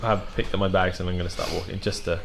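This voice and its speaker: A man's voice: sits low, fairly even intonation, fast talker, vocal fry, fairly bright tone. A man playing a tabletop game, chatting in casual, in-character banter. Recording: echoey room with faint background noise.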